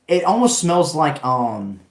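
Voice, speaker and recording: A man's voice: on the high side, big pitch swings, takes it slowly, reverberant. A man hosting a live stream, chatting with viewers in an enthusiastic, rambling way, his voice worn from talking. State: voice going out from long talking. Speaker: fragrance live stream host. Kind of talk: casual live stream chat reading comments.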